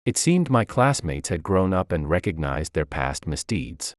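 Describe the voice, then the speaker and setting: A male narrator reads a first-person story from a script. His voice is low, with lively movement in pitch, and the recording is very dry and close, free of room echo. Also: a little slow.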